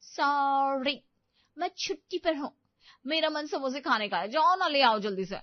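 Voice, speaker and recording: A woman's voice: high-pitched, a little slow, no echo, highs cut off. A woman voicing a spoiled, lazy young married daughter in a story, bossy and teasing. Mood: smug, demanding.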